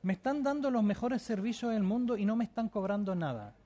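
A man's voice: high-pitched, lively intonation, a little fast, mellow. A man giving a TV interview, answering fervently and insistently, often hesitating and repeating words. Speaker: interview guest living in the Emirates.

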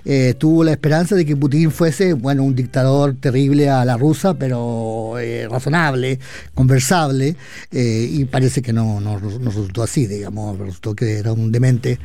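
A Chilean man and radio columnist talking in a casual chat, his speech rushed and halting with many restarts. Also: medium pitch, dark tone. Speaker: columnist guest. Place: radio studio.

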